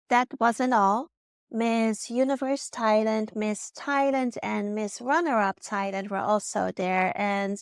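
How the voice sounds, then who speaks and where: Dutch-accented woman, smooth dry voice. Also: mid-range voice, no echo. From Netherlands. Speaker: vlogger. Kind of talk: scripted personal vlog narration.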